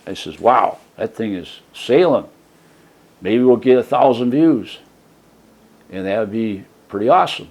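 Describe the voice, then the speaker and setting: A man hosting his own channel, talking casually and softly from his trailer home, rambling with many fillers and restarts. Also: lowish voice, expressive, measured pace, long pauses. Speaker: YouTube channel host. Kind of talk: casual rambling vlog talk. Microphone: desk mic set for a soft voice.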